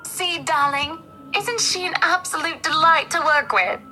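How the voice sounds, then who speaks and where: High-voiced refined woman, game character. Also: a little slow, pushed and bright, heavily acted. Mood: pleased, gushing. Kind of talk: video game voice acting. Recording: music playing over the voice.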